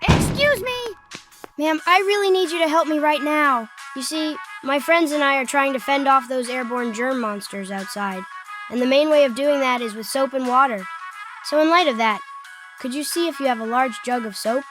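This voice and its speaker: A woman's voice: high voice, normal speed, lightly acted. A woman voicing a plucky, earnest kid hero with an urgent manner in a cartoon comic dub. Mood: urgent, polite. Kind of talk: fan comic dub voice acting. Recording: loud music behind the voice. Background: music.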